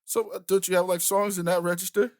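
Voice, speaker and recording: A man's voice: high-pitched, flat delivery, fast talker. A man hosting a casual interview, a keyboard player who talks in an easygoing way, laughing often and asking short questions. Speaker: podcast host and keyboard player.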